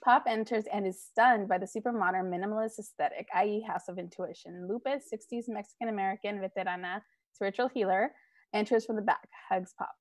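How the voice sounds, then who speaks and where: A woman's voice: medium pitch, fairly quick. A woman in her thirties reads scripted stage directions aloud at a table read, on an earbud headset over a video call from home. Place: living room at home.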